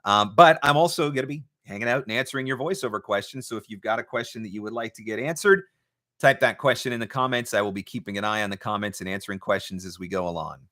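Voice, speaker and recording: A man's voice: on the low side, quick pace, pushed and bright. A man hosting a live question-and-answer broadcast, giving conversational, instructive advice with emphatic repetition of key points. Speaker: host, voice-over marketing coach.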